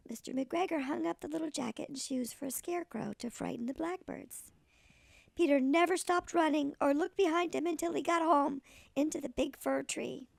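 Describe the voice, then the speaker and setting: Tiny elderly granny storyteller, played by a woman: high-pitched, very gravelly, expressive picture-book read. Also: dry recording, full character voice. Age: older. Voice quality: creaky, gravelly. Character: tiny granny, a little old lady storyteller. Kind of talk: expressive children's storybook reading.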